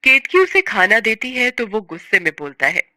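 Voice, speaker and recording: Very bright-voiced woman storyteller. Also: fairly high pitch, very animated, moderate pace, no room sound, studio quality. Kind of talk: scripted story narration.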